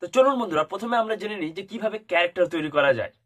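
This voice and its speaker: A man's voice: high-pitched, animated, rapid-fire, very noisy. A man explains steps in an instructional tutorial voice-over, recorded a little dull and lo-fi. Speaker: tutorial host. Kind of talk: step-by-step screen tutorial voice-over. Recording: dull lo-fi voice-over, music behind. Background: background music.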